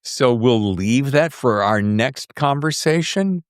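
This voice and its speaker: A man's voice: mid-range voice, animated, slow, clear, resonant tone, dry recording, studio quality. A man hosting a podcast in a casual, conversational interview style. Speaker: podcast host.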